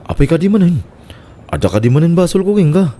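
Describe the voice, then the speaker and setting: A man's voice: a little high, very animated, fast talker, mellow. A man playing an easygoing massage worker in a radio comedy-drama. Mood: exasperated.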